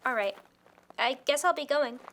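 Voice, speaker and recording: A woman's voice: high-pitched, animated, normal speed, a bit bright, slight background noise. A woman acting as a polite, easily flustered rural young mother in an amateur audio drama. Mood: apologetic. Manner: polite, hesitant.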